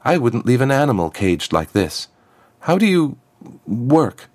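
A man's voice: medium pitch, very animated, measured pace, a little mellow, voice pushed up. A man voicing a winged young princess who speaks with earnest urgency. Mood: urgent.

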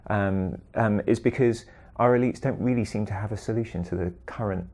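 A man's voice: fairly low pitch, brisk, mellow. A man from Britain discussing viewer questions in a conversation, hesitant, with many ums, building his points step by step.

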